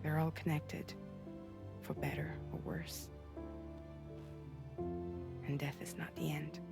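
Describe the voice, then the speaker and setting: Low-voiced calm, reassuring woman. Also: slow pace, creaky, full character voice. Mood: tender, solemn. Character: calm, gentle woman offering philosophical reassurance. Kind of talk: dramatic game cutscene acting. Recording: music behind.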